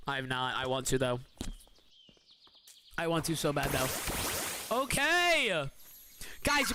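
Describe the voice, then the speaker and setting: A man with a hoarse, weakened voice, hosting and commentating a game tournament live on stream in excitable, slang-filled bursts. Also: high-pitched. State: hoarse, voice feels weak.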